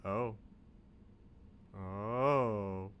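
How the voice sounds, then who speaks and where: A man's voice: fairly low pitch, very slow, fairly bright tone, muffled recording, a little hiss or hum. A man streaming a video game, chatting casually with his viewers in rambling asides and reading on-screen text aloud.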